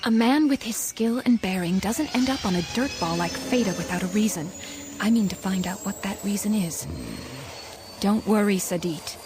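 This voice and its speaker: A woman's voice: mid-range voice, normal speed, over music, lightly acted. An actress playing a determined, composed female rebel leader in a science fiction audio drama.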